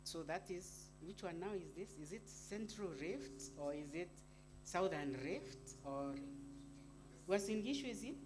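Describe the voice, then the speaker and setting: A woman's voice: on the low side, animated, a little slow, over music. A woman with a Kenyan accent, an academic giving an informal, playful talk to an audience, chatty and putting questions to her listeners. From Eastern Kenya. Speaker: university academic, panel speaker. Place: live university event. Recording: clearly noisy live event recording.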